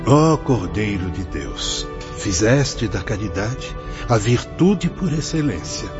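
A man's voice: fairly low pitch, big pitch swings, slow. A man playing a solemn, lofty spirit mentor in a radio drama, lecturing in a formal, declamatory way. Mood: reverent. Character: solemn, lofty male spirit mentor, patiently instructing newcomers. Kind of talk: theatrical radio drama acting. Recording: dull lo-fi audio with music.

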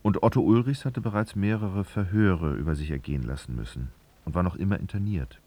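A man narrating a literary radio drama in a gravelly voice, with expressive, knowing, ironic storytelling. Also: sits low, a little fast. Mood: wry narration. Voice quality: creaky, gravelly.

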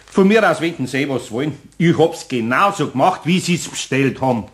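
Man playing lively Bavarian master carpenter. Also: a little high, a little fast, lightly acted. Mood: exasperated. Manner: homely, plain-spoken, grumbling. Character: good-natured, grumbly older master carpenter. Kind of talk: German children's radio play.

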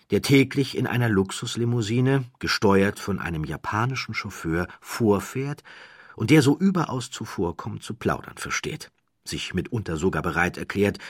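A man reading a literary audiobook as a scripted narrator, with measured, deliberate phrasing and dramatic pauses. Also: sits low, fairly bright tone, breathy edge, no echo, clean studio sound.

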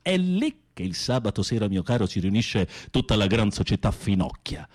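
A campy, low-voiced theatrical inmate. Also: male voice, sing-song, normal speed, darkish tone. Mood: proud, campy. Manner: florid, campy, teasing storytelling. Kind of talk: radio play acting.

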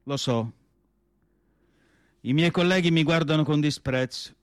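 An actor playing an anguished, indignant and nostalgic asylum psychiatrist in a declamatory radio theatre monologue. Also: male voice, on the high side, measured pace, no room sound, clean studio sound, lightly acted. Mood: troubled, questioning. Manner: declamatory, rhetorical questions, emphatic lists. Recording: music playing behind the voice. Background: music.

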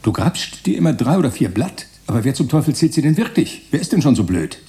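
An actor playing a wry, digressive narrator in a literary radio play, musing in a conversational, ironic way. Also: male voice, medium pitch, big pitch swings, quick pace, mellow, close to an everyday voice. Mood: fussy, grumbling.

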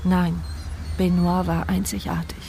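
A woman's voice: on the low side, little pitch movement, a little slow, creaky, mellow, slight background noise. An actress playing a sceptical woman thinking to herself in a radio drama, dry, sardonic and reflective. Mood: wry, brooding. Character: blind, mute woman's inner voice, wry, sceptical, brooding. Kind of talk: radio drama inner monologue.

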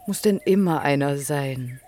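An actress playing a teasing, inquisitive young student in a naturalistic radio play, probing with questions. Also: female voice, low voice, a little slow, dark tone, a little hiss or hum. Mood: playful, probing. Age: young adult.

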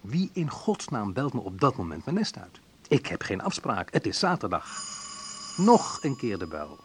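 A man's voice: lowish voice, wildly swooping pitch, normal speed, slight background noise. An actor playing a wry, sensitive young man who recalls his student love life in an intimate first-person radio play monologue. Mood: tense recollection.

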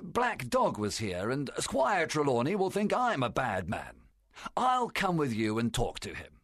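A man voicing a sly, genial pirate ship's cook in a storybook, husky and ingratiating. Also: mid-range voice, wildly swooping pitch, a little slow, no echo, clean studio sound, pitched above the performer's own voice, full character voice. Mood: feigned concern. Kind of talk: storybook character dialogue.

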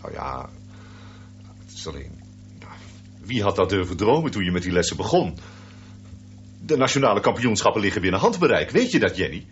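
A muffled, faintly noisy radio play recording holds a middle-aged father. The voice is low with lively swings, hesitant and placating. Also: a little fast, pauses often. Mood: hesitant, proud. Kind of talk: radio drama acting.

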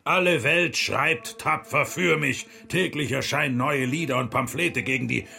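A man's voice: mid-range voice, moderate pace, vocal fry, bright, forceful tone. A man playing a defiant, boisterous, earthy monk in a German radio play, with a coarse, mocking manner. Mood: scornful.

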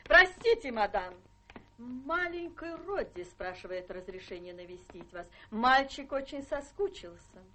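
An actress playing a brisk, deferential household maid in a radio play, announcing things in a formal, clipped way. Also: female voice, fairly high pitch, expressive, sharp tone, a little hiss or hum. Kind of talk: theatrical radio play acting.

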